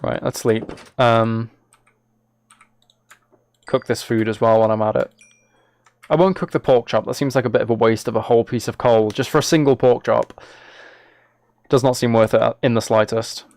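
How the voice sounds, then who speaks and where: A man's voice: medium pitch, steady tone, moderate pace, long pauses, a little mellow. A young British man chatting casually on a gaming livestream, with a wry, playfully exasperated way of talking. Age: late teens to early 20s. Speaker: live streamer.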